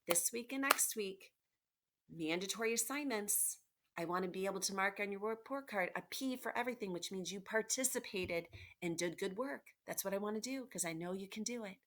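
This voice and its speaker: A woman teacher reading aloud to children, expressively and encouragingly, pausing to explain and ask questions. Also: mid-range voice, lively intonation, fairly bright tone. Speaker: elementary school teacher. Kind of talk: children's read-aloud with questions and asides to pupils. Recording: noticeable background noise, clicks.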